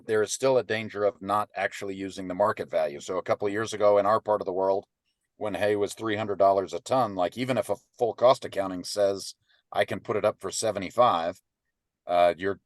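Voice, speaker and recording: A man's voice: deep voice, fairly even intonation, brisk, dry recording. A man presenting an online webinar as a ranch business advisor, explaining in an informal way and checking in with listeners. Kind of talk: teaching webinar, conversational explanation. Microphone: computer microphone on an online webinar call.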